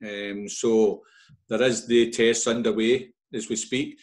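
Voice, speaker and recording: A man's voice: fairly low pitch, steady tone, slow, darkish tone. A Scottish man, a club official, giving fans an informal and enthusiastic update over a video call, often repeating himself.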